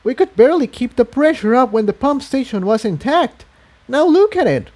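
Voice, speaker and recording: A man's voice: very high-pitched, sing-song, moderate pace, a little mellow, voice pushed up, full character voice. A man voicing a timid, worried female pony who pleads on behalf of her friend in a story reading. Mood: doubtful.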